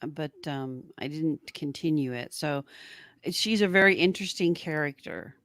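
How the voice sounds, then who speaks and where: A woman's voice: sits low, normal speed, fairly bright tone, dry recording, studio quality. A woman co-hosting a casual live-stream chat, musing aloud and often trailing off as she speculates. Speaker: podcast co-host.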